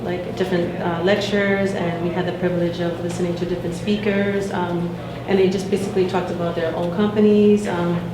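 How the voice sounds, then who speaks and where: A woman's voice: fairly low pitch, flat delivery, fairly quick, few pauses. A woman, secretary of a student association, giving a report to a meeting with many fillers and restarts. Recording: echoey room with noticeable noise.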